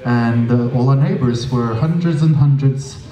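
Norwegian male guest, mellow voice, chatty and dry, heard in a roomy space from a distance. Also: medium pitch, measured pace. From Norway. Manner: chatty, dry humor, many fillers. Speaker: interview guest, drag performer. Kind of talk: casual storytelling interview. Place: small stage with audience, indoors. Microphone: handheld mic.

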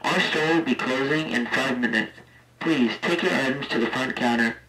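A man's voice: mid-range voice, moderate pace, bright, forceful tone. A man making a test announcement in a store-announcer style, heard through an intercom and stereo speaker. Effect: played through intercom and stereo speaker. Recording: roomy, faintly noisy speaker playback.

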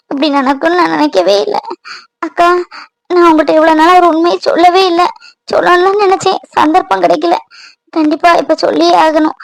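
A woman's voice: extremely high pitch, gravelly, dry recording, rough recording. A woman voicing a frightened young wife who cries and pleads with an older friend. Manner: tearful, trembling, pleading. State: crying. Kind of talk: Tamil domestic audio drama.

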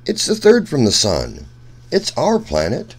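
Very animated man reading a children's story. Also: mid-range voice, a little slow, slightly rough. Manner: expressive, sing-song storytelling. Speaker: children's story reader, host. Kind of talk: read-aloud rhyming children's picture book.

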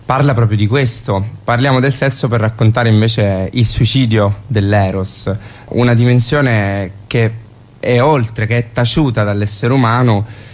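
A man's voice: medium pitch, fairly even intonation, unhurried. A man speaking as a theatre guest in a radio interview, reflecting philosophically and often adding a questioning tag. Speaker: playwright and actor, interview guest.

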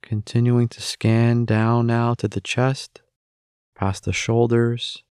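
A man narrating a guided meditation, giving gentle, unhurried instructions with long pauses between phrases. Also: mid-range voice, flat delivery, clean tone, no echo, clean studio sound.

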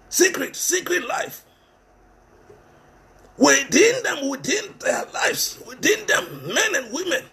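A middle-aged Ghanaian-accented preacher delivers a fervent sermon through a headset mic in a small room, his voice pitched very high and swinging widely. A gravelly fry runs through it, and he hammers his points home by repeating them. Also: pushed and bright. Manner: impassioned, repeats phrases for emphasis, switches between English and Twi.

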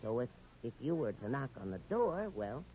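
Smitten young American bellboy played by a man, flustered stammer, animated, faintly hissy old radio comedy. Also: mid-range voice, normal speed, natural voice. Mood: eager. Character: eager, smitten, bumbling young hotel bellboy. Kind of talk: 1940s radio comedy acting. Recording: muffled, hissy 1940s radio recording.

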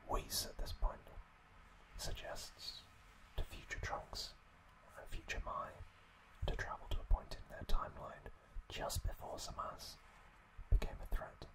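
A man whispering breathily and close to the microphone as an ASMR host, recounting in a hushed, halting way. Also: rough recording. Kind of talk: whispered ASMR recap narration.

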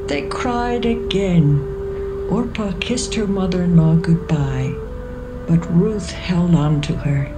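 A woman narrating scripture from a script in a measured storytelling manner. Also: lowish voice, noisy background. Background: music.

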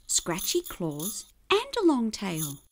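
A woman reading a children's picture book aloud in an expressive, deliberate and emphatic storytelling manner. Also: medium pitch, a little hiss or hum.

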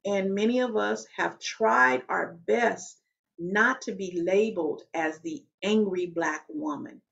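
A woman in her forties with a deep, loud voice, talking straight to the camera in an emphatic, conversational way and often checking in with "okay?". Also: takes it slowly, vocal fry, muffled recording. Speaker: vlogger. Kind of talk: direct-to-camera talk. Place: small room at home.

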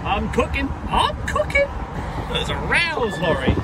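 A man's voice: very high voice, huge pitch swings, unhurried. A man, chatting casually to camera while driving his truck, joking and exasperated at the traffic. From England. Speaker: truck driver vlogger. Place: truck cab on the road. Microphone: camera mounted in the cab.

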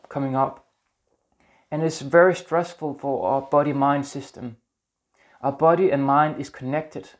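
A Danish-accented man in his thirties talks gently to the camera, earnest and a little halting as he searches for words. He is recorded close on a lapel mic in a small indoor room. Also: on the high side. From Denmark. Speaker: spiritual vlogger. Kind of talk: casual talk to camera.

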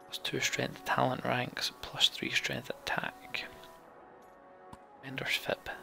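A man's voice: mid-range voice, completely flat delivery, takes it slowly, vocal fry, pushed and bright, whispered, faint music behind. A man doing let's play commentary on a game, reading text aloud and talking through choices.

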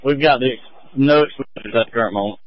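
A man's voice: medium pitch, fast talker, no room sound. A man speaking as a fire incident commander over a two-way radio at a fire scene. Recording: muffled two-way radio transmission.

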